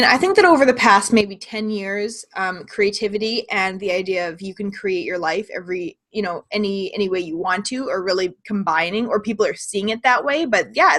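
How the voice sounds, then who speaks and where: A woman hosts a video interview at a middle pitch. She sounds upbeat, laughs often and tags her points with a quick "right?". Her tone is light and conversational. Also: fairly bright tone. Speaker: interview host, creativity coach.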